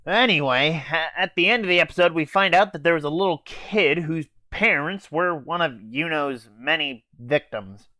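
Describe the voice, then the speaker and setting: A man's voice: fairly high pitch, moderate pace, few pauses, pushed and bright, dry recording. A teenage boy casually recapping an anime episode in an offhand, hesitant way with fillers and swearing. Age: teens. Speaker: anime reviewer.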